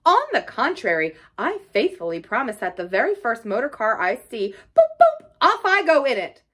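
A woman's voice: high-pitched, sing-song, moderate pace, fairly bright tone, pitched above the performer's own voice. A woman voicing a stubborn, boastful male toad in a storybook, emphatic and gushing in manner. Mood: emphatic, gleeful.

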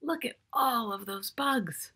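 A woman's voice: fairly high pitch, slow pace, pushed and bright, husky. A middle-aged woman reading a picture book aloud to young children, expressively, drawing out key words and chatting to the listener. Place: small furnished room at home, indoors.

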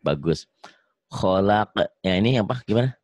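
A lowish-voiced man with a Sundanese accent leads a reading lesson, stretching each syllable long and slow before correcting and praising. The sound is dry and close, with no room echo. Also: expressive, a little mellow, lo-fi sound. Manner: drills syllables slowly, drawn out, corrects and praises. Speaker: Quran reading teacher. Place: home, on a video call.

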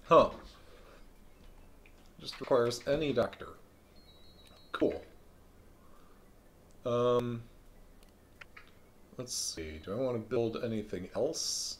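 A man streaming a video game live, thinking aloud in a relaxed way with frequent ums. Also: mid-range voice, slow, pauses often, a little hiss or hum. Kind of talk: live let's-play commentary.

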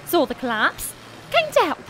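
A woman's voice: sits high, huge pitch swings, moderate pace, background noise. A woman playing an eager, chirpy little female mole rescue worker in a comedic audio drama.

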